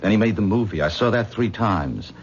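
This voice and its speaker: A man's voice: on the low side, lively intonation, fairly quick, close to an everyday voice. An actor playing a modest, earnest man who talks himself down, in a 1970s radio drama. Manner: self-deprecating, earnest. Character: modest, admiring kind man, new friend. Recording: dull old radio broadcast recording with faint hiss.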